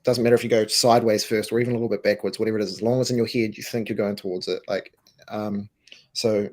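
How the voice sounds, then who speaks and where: Man, from New Zealand, low voice, croaky, emphatic, restarts, casual interview. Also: flat delivery, quick pace. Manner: emphatic, repeats words, restarts. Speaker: co-founder and co-CEO, guest.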